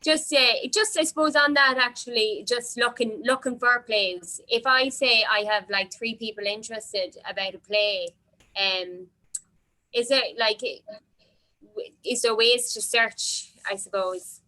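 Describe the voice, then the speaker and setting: Bright, slow-spoken woman. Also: on the high side, rough recording. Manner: hesitant. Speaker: workshop participant. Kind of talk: casual question from the group.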